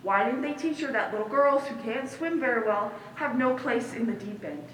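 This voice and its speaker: A woman's voice: fairly high pitch, moderate pace, fairly bright tone, natural voice. A woman on stage playing a blunt, unsympathetic young character delivering a complaining monologue. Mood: indignant. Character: blunt, unsympathetic young character, self-declared bad lifeguard. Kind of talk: stage monologue in a one-act play. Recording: echoey hall from far off, noise.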